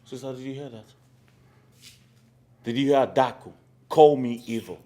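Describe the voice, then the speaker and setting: A man's voice: mid-range voice, moderate pace, dark tone, close to an everyday voice. An actor playing an aggrieved uncle who complains to his sister about his niece. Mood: indignant. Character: aggrieved middle-aged uncle, company manager. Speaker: uncle running the family company. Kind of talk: film drama acting.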